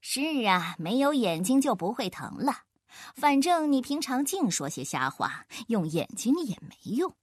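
A woman playing a sly, witty trickster man in a children's story, with a deadpan, teasing manner. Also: a little high, unhurried, husky, no room sound, studio quality, heavily acted.

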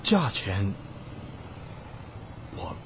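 An actor playing a reluctant, easily exasperated young male tutor in a radio drama. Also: very slow. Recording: dull lo-fi audio, faint noise.

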